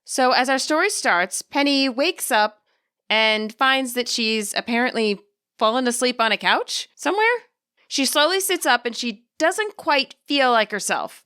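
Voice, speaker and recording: A woman narrating a video game story from a script, in a wry, expressive storytelling manner. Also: a little high, measured pace, clear, resonant tone.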